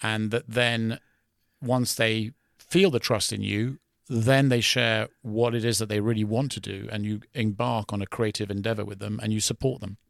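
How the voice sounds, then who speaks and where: Low, flat-voiced British man. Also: moderate pace, creaky, no room sound, clean studio sound. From London. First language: French. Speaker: guest. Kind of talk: conversational interview.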